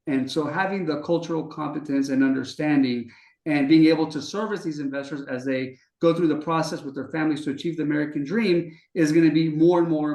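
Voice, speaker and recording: A man's voice: fairly high pitch, a little mellow. Confident man in an echoey room. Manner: confident, fluent, speaks in long explanations. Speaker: podcast co-host, business development executive. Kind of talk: conversational interview.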